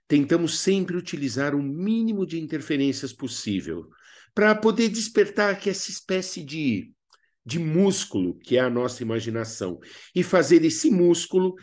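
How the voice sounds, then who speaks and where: A man with a Brazilian accent giving scripted instructional narration as a drama teacher, theatrical and expressive. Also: on the high side.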